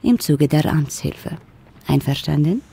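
A woman playing a senior civil servant in a radio play, brisk, formal and matter-of-fact. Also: low voice, lively intonation, gravelly, dark tone, slightly rough, heavily acted. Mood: firm, bargaining. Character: brisk, official female senior bureaucrat.

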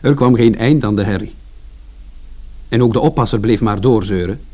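A man's voice: lowish voice, normal speed, warm tone, lightly acted. An actor playing a plain-spoken working-class son who tells his story in a colloquial, confiding first-person radio drama narration. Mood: wry recounting.

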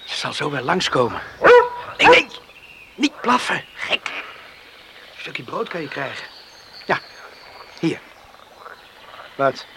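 A jealous, lovestruck country lad in a radio drama. His high voice jumps around with boyish, insistent pleading. The recording is clearly noisy, with birds and open-air ambience. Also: sing-song, takes it slowly, pauses often, heavily acted. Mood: coaxing, waiting. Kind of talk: Dutch radio drama acting.

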